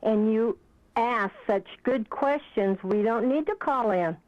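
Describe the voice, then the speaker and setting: A woman with a fairly high voice calls in to a talk show. She is heard over a dull, muffled phone line and speaks earnestly, full of praise. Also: slow. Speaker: caller. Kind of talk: phone-in call.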